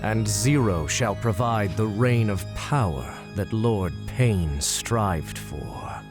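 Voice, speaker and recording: A man playing a cold, scheming villain in an anime game dub, husky, calm, deliberate and ominous. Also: fairly low pitch, over music. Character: cold, scheming male villain plotting war.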